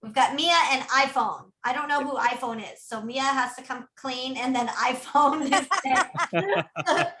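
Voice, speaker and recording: A woman co-hosting a ground school meeting on a video call, speaking in a quick, upbeat way and laughing easily. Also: high voice, fairly bright tone, reverberant, a little hiss or hum. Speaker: meeting co-host.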